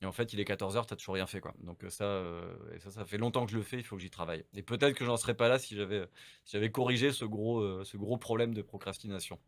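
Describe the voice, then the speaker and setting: Fast-talking Frenchman in his forties. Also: lowish voice, fairly even intonation, no echo. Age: 40s. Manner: quick, candid, informal with lots of fillers. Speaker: guest, mastering engineer. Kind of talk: casual interview chat.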